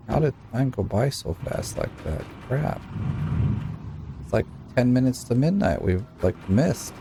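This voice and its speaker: A man's voice: mid-range voice, creaky, background noise. A man from Utah giving casual, rambling commentary as he plays a video game, muttering at it as he goes. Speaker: gaming YouTuber. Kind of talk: casual let's-play commentary.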